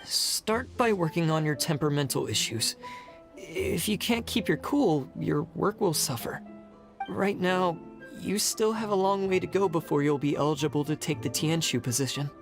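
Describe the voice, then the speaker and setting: An actor as a level-headed young apprentice in a video game, mid-pitched. The delivery is earnest, modest and reserved. Music is clearly audible underneath. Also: normal speed, close to an everyday voice. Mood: sober, chiding. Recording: loud music behind the voice.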